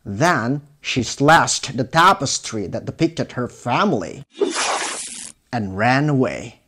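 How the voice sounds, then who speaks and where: Husky, slow-paced man telling a fairy tale. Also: medium pitch, big pitch swings, sharp tone. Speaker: storyteller. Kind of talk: scripted children's fairy tale narration. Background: music.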